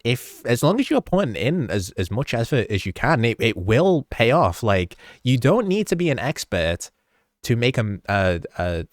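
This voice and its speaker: A man's voice: mid-range voice, very animated, moderate pace, dry recording, studio quality. A man with a stuffy, slightly sick voice, rambling through a casual behind-the-scenes talk about his video edits, often stopping himself mid-sentence and chuckling.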